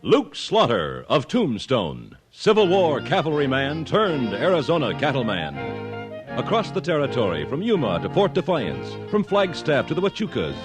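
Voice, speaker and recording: An American man announces in grand, dramatic 1950s radio style. His pitch swings very widely, and music plays clearly behind him. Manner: grand, declamatory.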